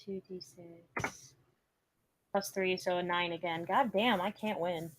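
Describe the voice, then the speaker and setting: Low-voiced woman, swearing casually. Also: fairly even intonation, takes it slowly, a little hiss or hum. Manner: casual, swears freely, says "like" often. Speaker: player. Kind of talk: casual tabletop play over voice chat.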